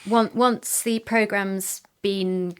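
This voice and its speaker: A woman's voice: medium pitch, steady tone, extremely slow, smooth. A middle-aged woman chatting casually as an interview guest about her work in sound production.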